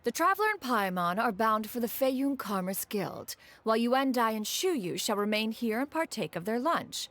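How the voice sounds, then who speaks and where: A woman's voice: a little high, very animated, moderate pace, few pauses, a bit bright, no echo. A woman voicing a dignified, self-assured video game immortal who speaks formally, precisely and in archaic turns. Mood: stately.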